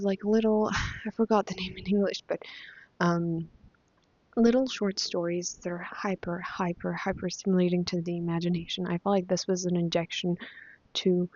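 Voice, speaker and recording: A woman's voice: lowish voice, darkish tone, no room sound, highs cut off. A young woman whose first language is Spanish, chatting casually about books she has read, with many fillers and restarts. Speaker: vlogger. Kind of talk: casual book review chat.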